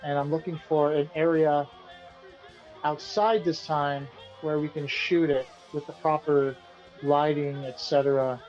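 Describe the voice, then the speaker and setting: A man, the band's singer and guitarist, answering interview questions in a relaxed, rambling way and often repeating himself. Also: a little high, unhurried, dull audio, faint music behind. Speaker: band vocalist and guitarist being interviewed.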